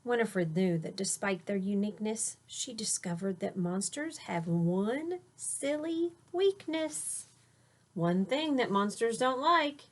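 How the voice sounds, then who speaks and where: Slow-paced woman reading a storybook to children. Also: medium pitch. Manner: expressive, emphasizes rhymes and key words, explains words simply for young listeners. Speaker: teacher reading a picture book aloud to children. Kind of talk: storybook read-aloud with simple explanatory asides.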